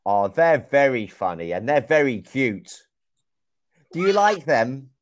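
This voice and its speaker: A young man from England, teaching a young child over a video call in slow, clear, encouraging speech full of exclamations. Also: medium pitch. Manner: slow, clear and lively, often exclaims and laughs. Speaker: online English teacher. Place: small room indoors. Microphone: video call with wired earphone mic.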